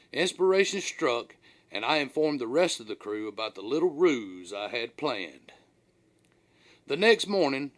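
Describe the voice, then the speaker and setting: Male audiobook narrator, mid-pitched, highly animated, telling a humorous tale with dramatic mock gravity and folksy phrasing. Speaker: author reading his own audiobook. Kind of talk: scripted humorous storytelling narration.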